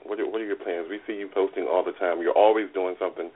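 A man's voice: low-pitched, fairly even intonation, fast talker. A man co-hosting a talk show, asking questions with eager, encouraging enthusiasm. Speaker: co-host. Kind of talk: casual radio talk show chat. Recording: tinny phone-like line.

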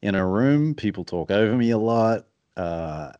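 A man with a very deep, low-pitched voice that comes out mumbly and soft-spoken. He talks quietly and reflectively in a casual interview, close to the microphone. The sound is dry and close, with no room around it. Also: animated, unhurried, clean tone, dry recording, muffled recording. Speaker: podcast guest, author and strategist.

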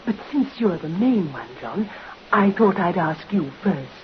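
A frail spinster in her fifties from a vintage radio play. She is gentle, earnest and plaintive, with a lowish voice that rises and falls a great deal, on a muffled recording. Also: lively intonation, a little slow. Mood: hesitant, earnest. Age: middle-aged. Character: gentle, frail invalid spinster of fifty-five, earnest, wistful. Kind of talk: 1950s radio drama acting. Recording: muffled old radio recording, faint hiss.

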